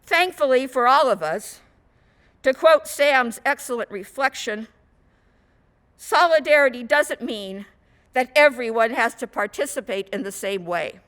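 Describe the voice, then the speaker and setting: A woman minister giving a sermon in measured, rhetorical short phrases. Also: high voice, lively intonation, fairly bright tone. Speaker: guest minister.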